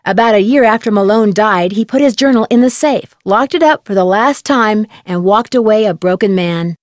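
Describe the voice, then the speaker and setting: A woman voicing a friendly, curious young detective in a video game, speaking in a composed, calm way. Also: medium pitch, normal speed, no echo, clean studio sound, close to an everyday voice. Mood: composed, explaining.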